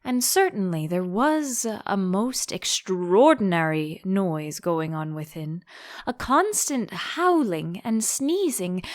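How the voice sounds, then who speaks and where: Animated, unhurried woman narrator. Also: medium pitch. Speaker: audiobook narrator. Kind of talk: expressive children's storybook narration.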